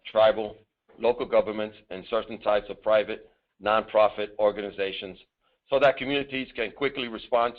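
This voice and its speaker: A man giving a formal, mostly scripted presentation as a government official on a conference call, reading deliberately in short, halting phrases. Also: mid-range voice. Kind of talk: formal scripted presentation with some improvised asides. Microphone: telephone. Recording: narrow tinny phone conference line.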